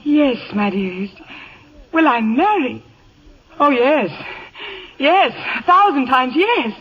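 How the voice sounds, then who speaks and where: An actress playing a vain, catty, glamorous stage star with affected, theatrical endearments in a 1950s radio comedy. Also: female voice, high voice, lively intonation, slow, slightly rough, in a large hall, exaggerated voice. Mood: gushing. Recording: muffled vintage radio recording.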